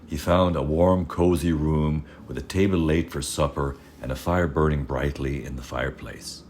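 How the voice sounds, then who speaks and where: Very deep male fairy-tale narrator. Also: faint music behind. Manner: measured, expressive storytelling. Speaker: storyteller.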